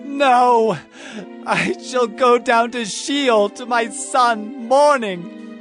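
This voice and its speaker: An actor playing an elderly, deeply emotional patriarch father in a dramatised Bible reading, slow and emphatic. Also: a bit bright, soft background music, exaggerated voice. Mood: grief-stricken. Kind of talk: Bible audio drama acting.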